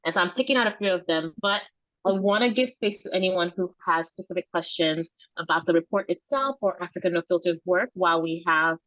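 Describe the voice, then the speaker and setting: A woman with a low voice hosts an online webinar in a casual, effusive way, peppering her talk with informal asides. The sound is dry and slightly dull. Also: a little fast, a bit bright, dry recording. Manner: informal, effusive, frequent "like" and "you know". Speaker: webinar host, moderator. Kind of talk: casual spoken moderation.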